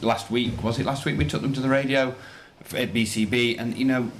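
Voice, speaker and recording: Enthusiastic male teacher with a lowish voice. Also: a little hiss or hum. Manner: enthusiastic, rambling with repeated phrases. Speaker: primary school teacher, interview guest. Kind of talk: conversational interview answers.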